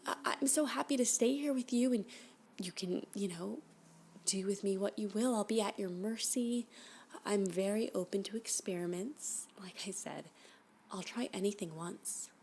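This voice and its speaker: A woman playing a flirty, clingy alien abductee in an ASMR roleplay, gushing and halting, often dropping to a whisper. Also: mid-range voice, a little mellow, close to an everyday voice. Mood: pleading, alarmed. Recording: background noise.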